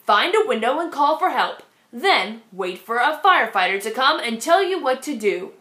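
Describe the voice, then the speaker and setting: A woman's voice: high-pitched, sing-song, normal speed, sharp tone, exaggerated voice. A woman voicing a patient male schoolteacher who lectures steadily and with emphasis, in a storybook read-aloud. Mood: instructive. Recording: echoey room.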